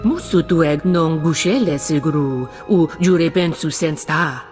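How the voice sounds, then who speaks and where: Lowish-voiced alien. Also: female voice, a little slow, darkish tone, faint music behind. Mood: alien chatter. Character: alien woman speaking an invented tongue. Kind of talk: video game voice acting.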